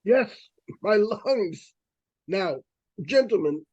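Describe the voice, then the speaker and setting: An ailing doctor as acted in a 1940s radio thriller, courteous and formal. The voice is weak and husky, halting and very slow. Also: medium pitch, animated, a little mellow, muffled recording. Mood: weak, apologetic. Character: ailing, courteous doctor shaken after a haunting. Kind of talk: 1940s radio suspense acting.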